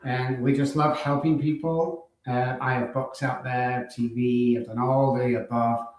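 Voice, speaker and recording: A man's voice: medium pitch, flat delivery, a little mellow. Manchester man in a very echoey room. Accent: Manchester English. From Manchester, England. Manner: brisk, listing, frequent uh fillers and throat clearing. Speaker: guest, addiction doctor. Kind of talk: casual live-stream interview.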